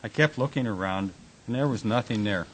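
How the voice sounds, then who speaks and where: A man's voice: on the low side, brisk, a little hiss or hum, close to an everyday voice, poor audio. A man acting a teasing, devoted husband and Navy veteran in a radio drama, wisecracking and plain-spoken. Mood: tender, earnest.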